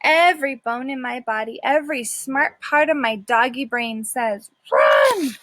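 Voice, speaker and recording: A woman's voice: high-pitched, unhurried, fairly bright tone, dry recording. A woman voicing a scrappy, sarcastic little male dog who tells his own story in a wry, dramatic storybook manner. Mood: alarmed.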